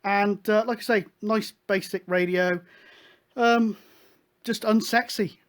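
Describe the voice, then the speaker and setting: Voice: medium pitch, fairly even intonation, slow. A host explaining things casually, in a hesitant, rambling, matter-of-fact way. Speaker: host of a hobby CB radio show.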